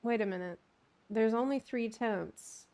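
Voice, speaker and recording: A woman's voice: on the high side, a little slow, warm tone. A woman streamer giving casual live commentary as she plays a video game. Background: faint game music.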